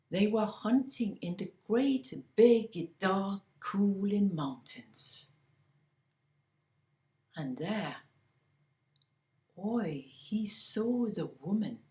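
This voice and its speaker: Woman, fairy-tale storyteller, slow and deliberate, hushed whispers, echoey room. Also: medium pitch, animated.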